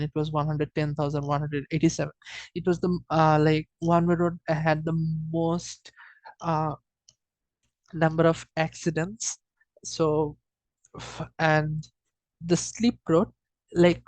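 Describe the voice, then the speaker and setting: A student giving an informal data presentation in a hesitant, halting way, often repeating and correcting themselves. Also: medium pitch, a little mellow, dry recording.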